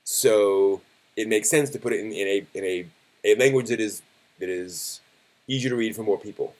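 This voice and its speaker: A man hosting a live stream, talking casually and conversationally, thinking aloud in a halting way. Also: fairly low pitch, a little mellow.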